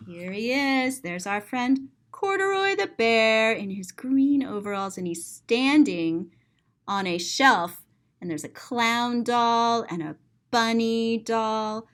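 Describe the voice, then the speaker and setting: A woman reading a children's story aloud and describing the pictures, expressive and explanatory. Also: on the high side, slow pace.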